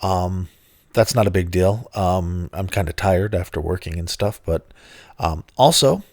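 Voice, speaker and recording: A middle-aged man with a lowish voice gone hoarse from bronchitis, talking into a close mic in a dry, dead room. He rambles casually, grumbles and swears with self-mocking humor, like a tired host on a solo podcast. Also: measured pace, a little mellow, no room sound.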